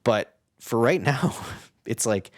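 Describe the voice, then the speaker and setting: A man's voice: medium pitch, lively intonation, slow pace, no echo, clean studio sound. A man co-hosting a casual podcast discussion, explaining his thoughts reflectively and often checking in with the listener. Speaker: podcast co-host.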